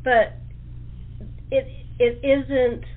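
A woman hosting a casual interview, speaking informally and appreciatively, with halting phrasing. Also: sits high, flat delivery. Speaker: talk show host. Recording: muffled, noisy, band-limited line.

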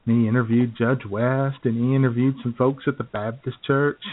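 An American man co-hosting a radio show, telling casual, joking stories in a halting way, often clearing his throat. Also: medium pitch, little pitch movement. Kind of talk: casual comic chat, telling anecdotes. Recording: phone-like, narrow-band sound.

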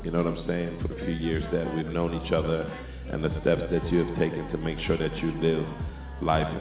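Deep-voiced Trinidadian host, laid-back slang. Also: male voice, monotone. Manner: laid-back slang, drawn-out sign-offs. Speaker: show host. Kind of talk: casual radio sign-off chat. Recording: tinny phone-line audio, echoey, music behind.

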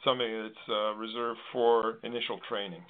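A man hosting a conversational talk show, with halting, hesitant phrasing. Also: lowish voice, flat delivery. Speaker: host. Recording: thin phone-line sound.

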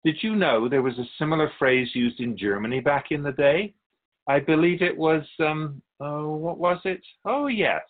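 A man playing a wise, wry otherworldly keeper of souls in a radio drama, speaking in a measured, musing, instructive way. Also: on the high side, lightly acted. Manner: measured, didactic, musing pauses. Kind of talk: radio drama dialogue in interview form. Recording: dull, lo-fi sound.

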